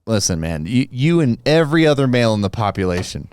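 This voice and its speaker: A man's voice: mid-range voice, big pitch swings, fast talker, darkish tone, clear, resonant tone, no room sound, clean studio sound. A young man co-hosting a podcast, in casual crude banter, teasing and profane and laughing often. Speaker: podcast co-host.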